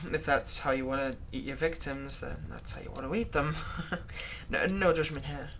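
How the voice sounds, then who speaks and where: A man's voice: on the high side, moderate pace, fairly bright tone, a little hiss or hum, lightly acted. A man playing a servile, nervous vampire's thrall in a roleplay audio drama, stammering and deferential. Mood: chatty, uneasy. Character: servile, nervous male vampire's thrall, bitterly eager to please.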